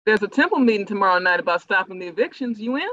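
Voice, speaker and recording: A man acting a bright, high-voiced activist preacher. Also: expressive, very fast, no echo, dull audio. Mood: persuasive. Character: profane, fired-up male activist preacher. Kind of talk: staged play reading over video call.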